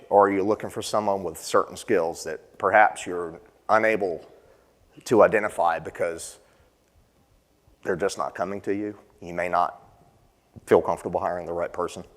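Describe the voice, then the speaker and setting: A man leading a conference session, talking conversationally and putting questions to the audience. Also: sits low. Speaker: session presenter. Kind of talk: conference panel talk. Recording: long hall reverb.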